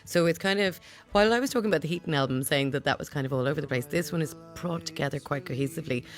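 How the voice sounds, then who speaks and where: A woman reviews music on a live radio panel, thinking aloud as she goes. She fills her talk with soft hedges and little asides. Faint music plays quietly behind her in the studio. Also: low voice, racing. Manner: chatty, thinking aloud with many hedging fillers.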